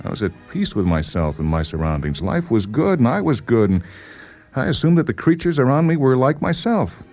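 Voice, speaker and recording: A man voicing a defensive, suspicious psychiatric patient with an insistent, halting delivery in a radio story reading. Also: mid-range voice, very animated, a little mellow, voice pushed up. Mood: agitated, insistent. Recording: voice over background music.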